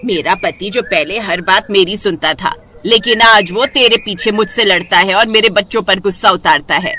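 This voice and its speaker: A woman's voice: mid-range voice, moderate pace, pushed and bright, slight background noise, natural voice. A woman voicing a resentful, harsh, accusing aunt in a cartoon moral story. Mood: angry. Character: resentful, scolding aunt throwing out an orphaned niece.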